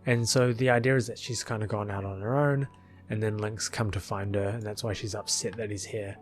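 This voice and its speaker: A man with a slightly deep voice records casual video commentary. He rambles in an offhand way, close to the mic, with music playing underneath. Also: steady tone, fairly quick. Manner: rambling, chuckling asides. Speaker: video creator, film editor.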